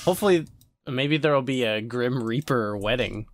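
A man speaks at an average pitch in a very dry, close recording. He sounds like a podcast co-host chatting casually and jokingly. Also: expressive, normal speed, no echo.

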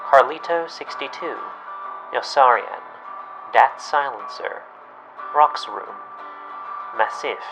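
A man announcing credits in a scripted read at the end of a radio play. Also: medium pitch. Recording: dull lo-fi sound, guitar music behind.

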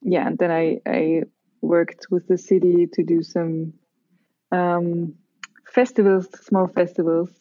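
A woman with an Austrian accent speaks in a fairly low voice. She chats casually as an interview guest. The recording is close and dry, with a slightly dull, lo-fi sound. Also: flat delivery, slow, dry recording. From Carinthia, Austria. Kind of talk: casual chat.

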